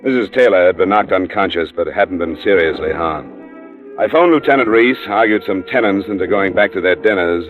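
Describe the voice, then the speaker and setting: A hard-boiled male investigator, played by a radio actor speaks in a deep voice, clipped and matter-of-fact. He is cool and blunt in the manner of mid-century radio crime drama, heard on a muffled old broadcast. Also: a little fast, soft background music, lightly acted. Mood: dry, matter-of-fact. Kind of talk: 1940s-50s radio crime drama acting, first-person narration and dialogue. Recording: muffled, band-limited old radio recording.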